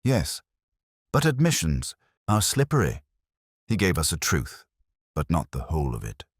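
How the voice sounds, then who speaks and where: A man reads as a calm, shrewd inspector in a detective story. The voice is extremely deep, soft-spoken and deliberate, lifting and falling expressively as it probes, recorded very dry. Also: slightly rough, no echo, heavily acted. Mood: thoughtful. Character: calm, shrewd, probing male police inspector.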